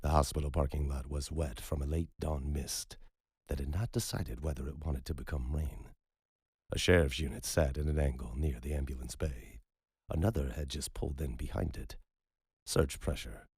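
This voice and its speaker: A man narrating a story in a measured, unhurried storytelling manner. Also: rumbling low, fairly even intonation, darkish tone, slightly rough, dry recording, studio quality. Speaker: audiobook narrator. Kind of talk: scripted narration.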